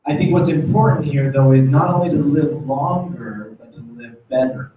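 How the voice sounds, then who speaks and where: A man's voice: medium pitch, moderate pace. American man in a very echoey room. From United States. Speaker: corporate executive, guest speaker. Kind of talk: informal motivational product talk. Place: meeting room, speaking before small audience. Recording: very echoey room, distant muffled mic.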